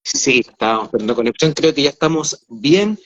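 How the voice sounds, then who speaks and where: A man's voice: mid-range voice, expressive, fairly quick. A Chilean man hosting a casual online livestream, talking with a lot of hesitation and fillers over a video call. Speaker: host.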